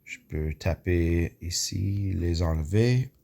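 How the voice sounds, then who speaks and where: A man whose first language is English and who has an English Canadian accent. He walks viewers through an app in a casual tutorial, hesitant, full of fillers, clearing his throat often. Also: low voice, slow pace, dark tone. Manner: hesitant, many fillers, mixes in English words. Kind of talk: casual screen walkthrough.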